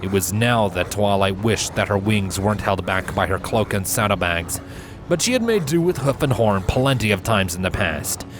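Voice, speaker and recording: A man reading scripted fan fiction as a narrator, with measured, dramatic storytelling. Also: fairly low pitch, sing-song, noisy background. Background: background music.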